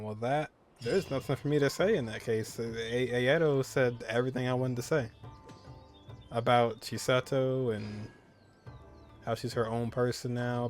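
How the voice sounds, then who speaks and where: Man, gaming host, medium pitch, casual commentary, halting repetitive phrasing, laid-back, faint background music. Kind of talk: casual let's-play commentary.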